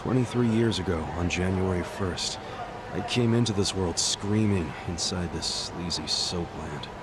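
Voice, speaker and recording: An actor voicing a brash, earnest young yakuza underling with rough, slangy speech in an English-dubbed game. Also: male voice, low-pitched, measured pace, background noise. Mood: reflective. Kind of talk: English-dubbed game cutscene dialogue and narration.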